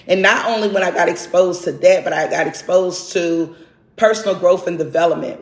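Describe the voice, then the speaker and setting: A woman's voice: lowish voice, roomy sound. A woman in her forties giving an earnest, faith-driven motivational talk, tagging her points with a questioning "right?". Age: 40s. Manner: keeps checking in with a questioning "right?". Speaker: motivational livestream host. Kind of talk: casual motivational monologue.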